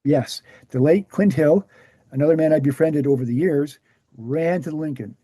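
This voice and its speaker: A man acts out an earnest author lecturing at a table read. His voice is very dark and relaxed, pitched a little high for a man. He explains things in a measured, emphatic way, in a dry close recording. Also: slightly rough, dry recording. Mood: earnest, explanatory.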